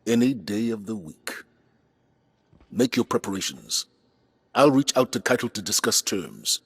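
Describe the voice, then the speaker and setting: A diplomatic commander in a game drama. The voice is very raspy and fairly low, recorded dry, with a measured, formal authority. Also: male voice, lively intonation, no room sound, full character voice. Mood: decisive. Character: weighty, principled military commander, diplomatic and restrained man. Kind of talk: dramatic video game cutscene acting.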